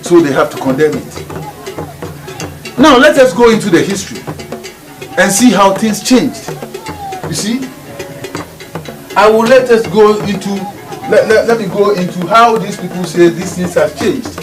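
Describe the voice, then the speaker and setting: A man's voice: high voice, lively intonation, a little slow, long pauses, over music. A man with a Ghanaian accent giving a spiritual teaching, emphatic and repetitive, driving each point home by restating it. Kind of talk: preaching lecture.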